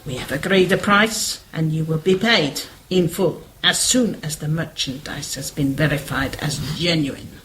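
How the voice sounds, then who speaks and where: A woman's voice: sits low, a little slow, hardly any pauses, background noise. A woman playing a cold, menacing criminal schemer in a radio play, with clipped, formal, commanding speech. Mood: cold, threatening.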